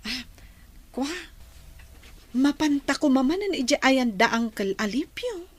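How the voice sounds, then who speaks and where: Casting: chatty young village vendor, very high voice, Ilocano accent, radio drama banter. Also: female voice, animated, measured pace. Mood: casual chatting. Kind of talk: Filipino radio drama acting.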